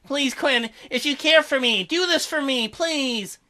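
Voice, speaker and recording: A man voicing a weakened young merman who speaks in halting, pleading phrases. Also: extremely high pitch, bright, forceful tone, voice pushed up, exaggerated voice.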